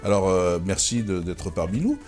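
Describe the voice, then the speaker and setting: A man hosting a talk show, interviewing a guest in a halting way, repeating words and filling pauses. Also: deep voice, big pitch swings, a little mellow, soft background music.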